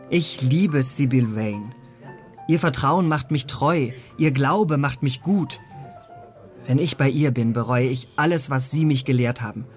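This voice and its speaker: An actor playing an ardent, impressionable young gentleman, newly engaged and rapturous, in a German radio drama. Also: mid-range voice, close to an everyday voice. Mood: passionate. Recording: music playing behind the voice.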